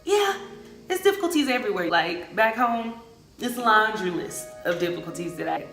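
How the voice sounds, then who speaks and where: A woman's voice: medium pitch, normal speed. Chatty young American woman, echoey room. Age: late 20s. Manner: chatty, expressive, colloquial. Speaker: travel vlogger. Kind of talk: casual talk to viewers. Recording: echoey room, music underneath.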